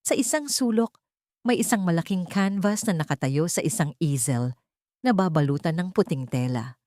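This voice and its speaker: A woman's voice: lowish voice, expressive, normal speed, a little mellow, no echo, clean studio sound. A woman with a Filipino accent reading a scripted dramatic story as an audiobook narrator.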